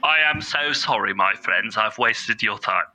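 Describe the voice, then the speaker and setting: A man's voice: fairly low pitch, expressive, unhurried, pushed and bright, full character voice. A man playing an earnest, bumbling caller on a comedy podcast, fussy and overly polite. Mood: apologetic. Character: earnest bumbling male bushel-farmer caller.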